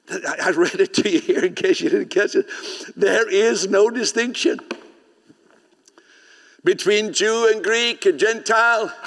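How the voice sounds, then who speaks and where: A man preaching in English, his second language, with a voice that sits somewhat high. He swings between loud, rising declarations and quiet, conversational asides, often repeating words as he gets going. A long reverb from a large hall trails every phrase. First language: not English. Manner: preaching with sudden loud rises and soft, chatty asides. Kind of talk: sermon.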